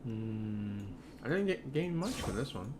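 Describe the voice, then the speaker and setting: A man's voice: medium pitch, very animated, measured pace, background noise. A man giving casual, offhand commentary on a video game stream, half talking to himself. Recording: loud game sound and ambience behind. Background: video game sound effects.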